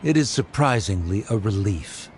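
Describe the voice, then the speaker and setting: A man's voice: on the low side, expressive, normal speed, a little mellow, a little hiss or hum, natural voice. An actor voicing a proud middle-aged scholar father in a game, cool and condescending. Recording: game cutscene with faint score.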